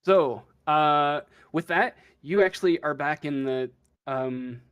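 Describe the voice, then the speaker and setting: Slow-paced man narrating a tabletop game. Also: a little high, fairly bright tone, smooth. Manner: halting, drawn-out improvised storytelling. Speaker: game master.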